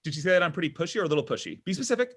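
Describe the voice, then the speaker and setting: A man's voice: sits high, sing-song, very fast, a bit bright, slightly rough, full character voice. An actor at a table read playing a needy, pushy thirty-something man on a date, whiny, defensive and over-explaining. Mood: awkward, defensive. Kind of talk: table read of a comedy pilot.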